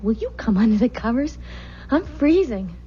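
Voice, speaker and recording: An actress playing an ardent, emotional young bride in an old-time radio drama. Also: female voice, fairly high pitch, sing-song, normal speed, mellow. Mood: tender, amorous. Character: ardent young bride, romantic and easily terrified. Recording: dull, noisy old radio recording.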